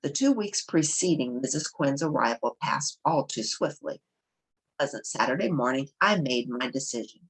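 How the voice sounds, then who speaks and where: Low, husky woman reading a story aloud. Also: lively intonation, normal speed, poor audio. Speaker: storybook reader. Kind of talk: read-aloud narration of a children's novel.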